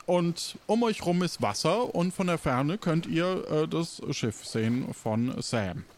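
A man's voice: fairly high pitch, expressive, slow, slight background noise. A man narrating an improvised audio role-play as game master, casual and hesitant in setting the scene.

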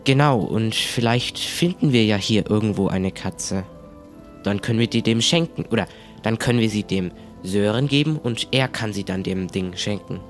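A man's voice: fairly low pitch, normal speed, faint music behind, close to an everyday voice. A man playing an awkward, polite, chatty pirate in an improvised audio drama, casual and hesitant. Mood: casual, helpful.

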